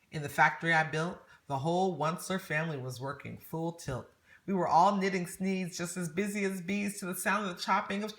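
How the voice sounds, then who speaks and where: Lady reading a rhyming picture book out loud, lowish forceful voice, really dramatic. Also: slight background noise. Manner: dramatic, emphatic. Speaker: storybook reader. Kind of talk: expressive read-aloud of rhyming children's story.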